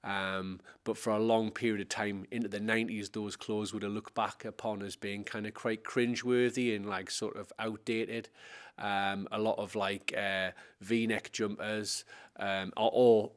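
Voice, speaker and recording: A man in his forties, originally from Scotland, recalls his childhood in an interview. His voice sits low and stays level, with little rise or fall. He drifts from point to point, hedging with "like" and "kind of". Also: flat delivery, measured pace, clear, resonant tone. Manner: meandering, hedging with many fillers. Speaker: interview guest. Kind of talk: casual reminiscing.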